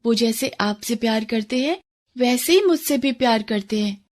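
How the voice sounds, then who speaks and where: Woman doing a sweet poor little girl, high pitched, gentle n earnest, kids cartoon story. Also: animated, normal speed, natural voice. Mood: earnest, hurt. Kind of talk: Hindi cartoon moral story acting.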